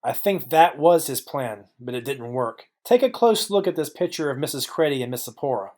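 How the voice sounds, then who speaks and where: A man playing a clever private detective in a noir audio drama, confident, wry and deliberate. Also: medium pitch, expressive, a little mellow, close to an everyday voice.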